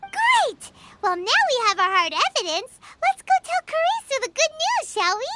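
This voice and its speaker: A woman voicing a tiny, chirpy, blunt fairy companion in a video game, with a squeaky childlike voice. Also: wildly swooping pitch, moderate pace, very noisy, heavily acted. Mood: chirpy, curious. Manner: refers to self in third person, cutesy. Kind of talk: video game character acting. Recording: game music behind voice.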